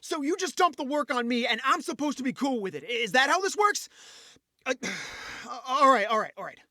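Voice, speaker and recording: A man playing an awkward, frustrated office worker in his late twenties in an audio drama, with halting, stammering speech. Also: extremely high pitch, very animated, pushed and bright, no echo, clean studio sound, exaggerated voice. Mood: angry, exasperated. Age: late 20s.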